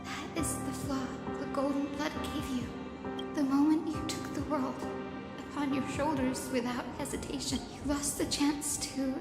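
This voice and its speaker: A woman voicing a gentle, devout young female character in a video game, earnest, tender and pleading in a measured, dramatic delivery. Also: high voice, little pitch movement, natural voice. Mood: sorrowful. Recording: echoey hall reverb with music.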